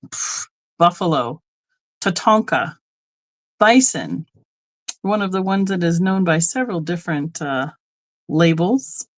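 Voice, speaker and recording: A middle-aged woman from Colorado hosting a live online sale, chatting casually and rambling into asides with frequent ums. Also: lowish voice. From Colorado, United States. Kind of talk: casual live-stream selling chat.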